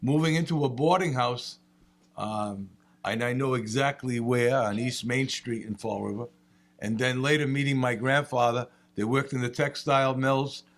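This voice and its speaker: A man, speaking on a panel and telling family stories with animated humor. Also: medium pitch, moderate pace. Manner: animated storyteller, laughs at own jokes. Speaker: state representative. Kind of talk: panel remarks with anecdotes.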